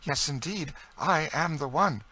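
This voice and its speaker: A woman's voice: deep voice, expressive, moderate pace, fairly bright tone, pitched above the performer's own voice. A man voicing a proud, emotional French lady in a storybook, with an earnest, eager, precise way of speaking.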